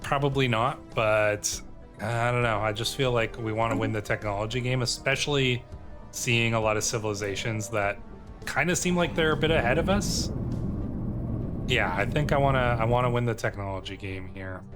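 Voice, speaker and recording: A man's voice: mid-range voice, moderate pace, fairly bright tone. A man giving casual gameplay commentary, thinking aloud tentatively and sometimes reading game text out. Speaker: video game streamer and host. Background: game music.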